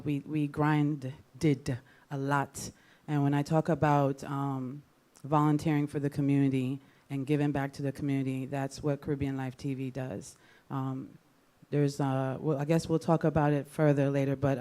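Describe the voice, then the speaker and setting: A woman's voice: deep voice, level tone, normal speed, dark tone. A woman speaking informally as a radio host on a panel, with an upbeat, playful way of talking. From Jamaica. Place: live panel event with an audience.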